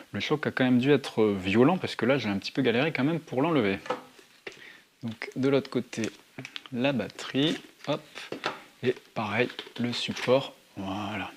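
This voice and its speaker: A man narrating a casual hands-on tutorial to his viewers, thinking aloud as he handles things. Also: mid-range voice. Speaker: motorcycle vlogger presenting gear. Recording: clear background noise, handling clicks.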